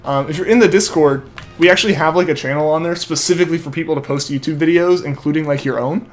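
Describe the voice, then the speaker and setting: A man's voice: fairly high pitch, fast talker, soft background music. A young man streaming a game, chatting casually and reading out viewer comments, his speech full of "like" and trailing restarts. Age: young adult. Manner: rambling, filler-heavy, keeps saying like. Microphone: headset mic feeding back to headphones.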